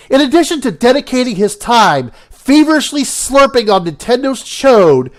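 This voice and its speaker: A YouTube commentator with a congested, nasal voice from a cold, delivering scripted, sarcastic, profane commentary. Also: mid-range voice. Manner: sarcastic, profane, theatrical emphasis.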